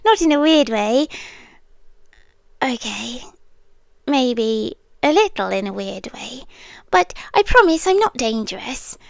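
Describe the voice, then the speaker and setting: A British woman voicing a young, obsessive yandere girl in an intimate ASMR roleplay, sweetly unsettling and lovestruck, speaking softly and hesitantly and drifting into whispers. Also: on the high side, lively intonation, slow pace, vocal fry, long pauses, dry recording, heavily acted. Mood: nervous, coaxing. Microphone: close microphone, dry.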